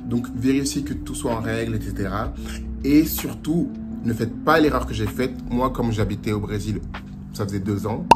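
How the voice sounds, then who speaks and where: A young man from France giving casual travel advice in a lively vlog, dropping Portuguese phrases as he talks. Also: medium pitch, moderate pace. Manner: chatty and animated, slips into Portuguese phrases. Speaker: vlogger. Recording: music playing under the voice.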